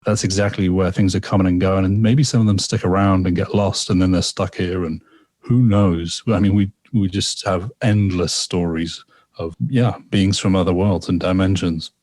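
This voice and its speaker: Man, very deep voice, dry close sound, rambling, reflective, podcast guest. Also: steady tone, normal speed, darkish tone, no room sound. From England. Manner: rambling and reflective, with frequent repetitions and fillers. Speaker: guest storyteller and artist. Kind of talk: casual podcast conversation, telling a personal story.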